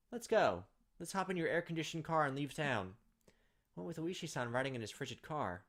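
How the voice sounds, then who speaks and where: A man's voice: medium pitch, fairly bright tone. A man hosting a let's play, reading visual novel narration aloud with casual commentary. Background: game music.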